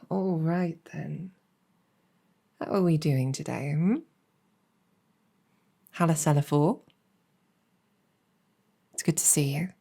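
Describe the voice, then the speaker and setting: A gracious demon general voiced by a woman hosts an honored guest in an improvised ASMR roleplay. Her voice sits very low and dark. She speaks softly and very slowly, with wry, measured courtesy and small hesitations. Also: gravelly, husky, full character voice. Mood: relaxed, friendly.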